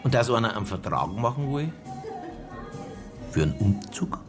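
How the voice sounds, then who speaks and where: An actor playing a dogged, blunt police detective in a radio drama, dry and probing. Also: male voice, mid-range voice, big pitch swings, normal speed, over music, natural voice. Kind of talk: naturalistic radio drama acting.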